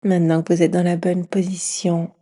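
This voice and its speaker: A woman guides a yoga nidra session in a mellow, husky voice. She keeps her tone very even and soothing, often dropping into a breathy whisper as she teaches. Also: lowish voice, moderate pace. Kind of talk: guided meditation.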